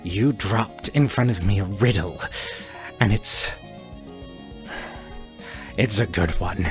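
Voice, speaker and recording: A man voicing an intense, obsessive four-armed character in a tabletop game, with deliberate, theatrical phrasing. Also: on the low side, over music. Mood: intense, ominous. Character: intense, obsessive four-armed gamer, exasperated yet sheepish.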